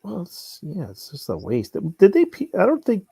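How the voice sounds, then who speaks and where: A man's voice: high voice, wildly swooping pitch, normal speed, vocal fry, dark tone, slightly rough, no echo, studio quality. A man chatting casually on a group podcast in a tentative, informal manner.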